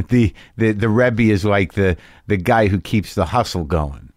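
Podcast host guy, curious and blunt, cracks jokes, low voice. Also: a little slow, darkish tone, no echo, clean studio sound. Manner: curious, blunt, jokey questioning. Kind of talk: casual interview.

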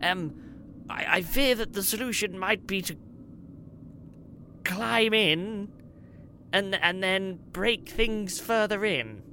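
A player improvising in character at a tabletop game, speaking in an emphatic, halting way with repeated phrases. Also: medium pitch, pushed and bright. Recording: faint background noise.

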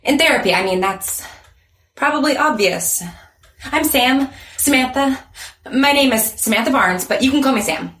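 A woman's voice: sits high, animated, normal speed, a bit bright. An actress playing a skittish, awkward woman in her twenties who over-explains and corrects herself nervously in an audio drama. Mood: nervous, flustered. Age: 20s. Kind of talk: naturalistic audio drama acting.

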